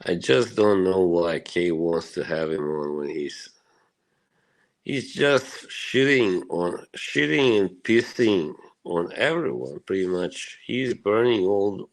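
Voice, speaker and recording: A man from Bosnia talks to his stream chat in a loose, rambling way. He swears freely and fills his talk with "you know" and little laughs. His voice sits very low and he speaks at an unhurried, slow pace. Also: rough recording.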